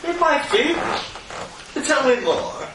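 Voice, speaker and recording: A stiff, formal Russian schoolteacher, played by a man at a tabletop game, talking in clipped, careful sentences with a put-on accent. The voice sits lower than the player's own and rises and falls a great deal. It is picked up from a distance in a roomy space with a steady noise floor. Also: slow, heavily acted. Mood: eager. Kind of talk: tabletop roleplay in character.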